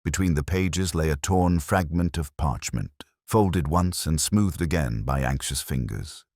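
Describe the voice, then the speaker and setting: A man playing a brooding police inspector who narrates a murder case in a measured, reflective, literary way. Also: extremely low pitch, darkish tone, no echo, studio quality, heavily acted. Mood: quietly suspicious. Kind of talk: first-person scripted detective story narration.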